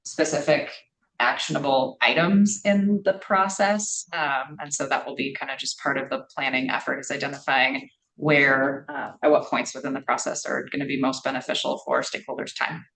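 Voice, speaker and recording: A woman staff member presenting to a board of commissioners in a public meeting, speaking in a measured way with frequent ums. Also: rumbling low, steady tone, creaky, fairly bright tone, low-quality recording.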